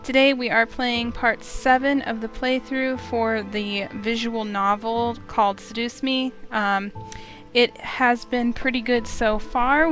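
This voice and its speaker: Higher-voiced woman gaming host. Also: a bit bright. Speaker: let's play host. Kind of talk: casual intro and read-aloud story narration. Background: game music.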